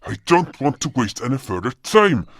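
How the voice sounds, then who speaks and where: A man voicing a gruff, impatient creature who leads a hunt in a fantasy audio drama, curt and commanding, with a gravelly voice. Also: mid-range voice, big pitch swings, normal speed, fairly bright tone, no echo, clean studio sound. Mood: stern, impatient.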